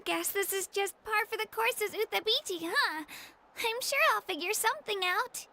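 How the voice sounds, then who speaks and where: A woman's voice: very high-pitched, moderate pace, sharp tone, heavily acted. A woman voicing a small, earnest, self-doubting young girl in a video game, with music behind her. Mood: downcast, brave. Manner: earnest, modest, putting on a brave face.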